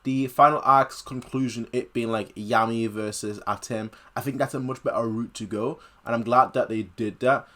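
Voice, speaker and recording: A man's voice: mid-range voice, fairly even intonation, normal speed, hardly any pauses, fairly bright tone. A man hosting a reaction video with casual, off-the-cuff commentary, repeating words for emphasis.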